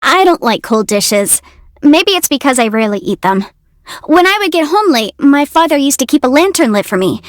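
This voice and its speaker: A woman voicing a young, quick-witted half-immortal legal adviser in a video game, articulate, self-assured and playful. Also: high-pitched, very animated, dry recording, studio quality. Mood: fond, reflective. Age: young adult. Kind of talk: video game character voice lines.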